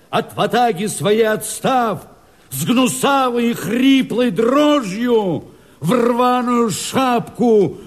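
A man's voice: high-pitched, exaggerated voice. A man acting an alarmed, foreboding Cossack rebel, declaiming verse with passion in a radio drama.

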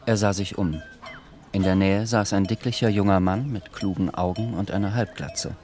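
A man narrates a literary radio drama from a script. His voice is fairly low. Music plays clearly beneath him. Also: steady tone, normal speed, a little mellow.

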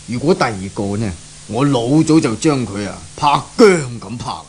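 Man acting a deep-voiced, indulgent Hong Kong father, noisy recording. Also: animated, moderate pace, a little mellow, natural voice. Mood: doting, mildly reproving. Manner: fatherly, mild, placating. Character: indulgent middle-aged father of a willful grown daughter. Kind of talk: 1970s Cantonese radio drama acting. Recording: noisy old radio recording.

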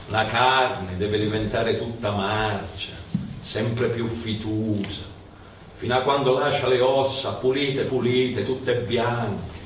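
A man playing a dead, burnt-faced priest in a stage tale, solemn, grimly kindly and deliberate. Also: fairly low pitch. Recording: roomy, distant mic, faint noise.

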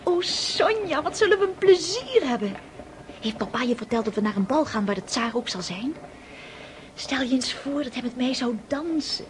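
High-pitched, girlish excitable young countess. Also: normal speed. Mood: excited. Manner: gushing, impulsive, girlish exclamations. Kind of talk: radio drama acting. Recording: noisy old radio play recording.

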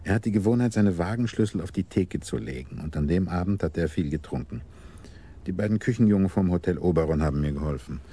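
An actor playing a cultured, self-satisfied schemer who talks with unhurried politeness in a German radio crime drama. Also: male voice, deep voice, mellow. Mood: amused, smug. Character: sly, cultured male schemer, self-styled keeper of order. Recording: clearly noisy 1980s radio drama recording.

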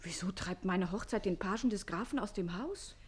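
An actress playing a spirited young maid about to marry, feisty and teasing, in a radio comedy. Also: female voice, mid-range voice, moderate pace, a little mellow, husky, close to an everyday voice. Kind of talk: theatrical German radio comedy acting.

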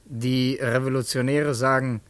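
An actor playing a polite young secretary who is guarded and quietly challenging, formal and restrained in a radio drama. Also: male voice, medium pitch, fairly even intonation, unhurried, dry recording, natural voice. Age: young adult. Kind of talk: German radio drama acting.

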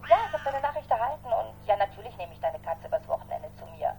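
An effusive, chatty friend leaving a message in a radio play. Her voice is highish and animated but mellow, over a faint hum. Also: quick pace, creaky. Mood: bubbly, pleading. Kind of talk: radio drama acting.

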